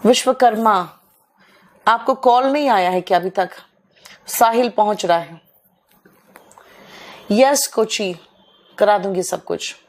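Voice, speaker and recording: A woman teaching English in a live online class, hoarse for days, clearing her throat and calling her students "beta" in a motherly, coaxing way. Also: medium pitch, slow pace, pauses often.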